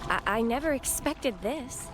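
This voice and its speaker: A woman playing an earnest, soft-hearted young woman in a video game, speaking a little hesitantly. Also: fairly high pitch, expressive, unhurried, loud music behind the voice. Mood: wistful, dismayed. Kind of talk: scripted video game cutscene dialogue.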